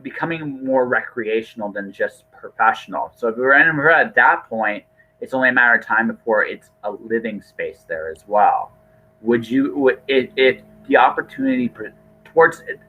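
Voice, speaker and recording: Husky man on a phone-like line. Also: on the low side. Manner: rambling, repeats and rephrases himself. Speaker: podcast host. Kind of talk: casual interview chat. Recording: thin, phone-like call audio.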